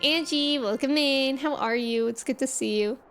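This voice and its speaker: A woman's voice: high-pitched, moderate pace, a bit bright. A young woman streaming a game, chatting casually and greeting viewers. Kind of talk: casual live gaming commentary. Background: game music.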